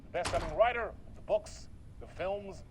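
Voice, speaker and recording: An actor playing a theatrical, gushing late-night television talk show host in a video game, with a showy, animated delivery. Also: male voice, high-pitched, moderate pace, noisy background. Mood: excited, showy.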